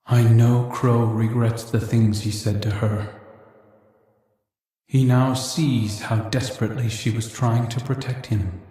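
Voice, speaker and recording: An actor playing an earnest, brooding princely brother in a video game, wry and regretful, speaking in a measured, reflective way. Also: male voice, mid-range voice, level tone, warm tone, very echoey, close to an everyday voice. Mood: somber. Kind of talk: dramatic video game monologue.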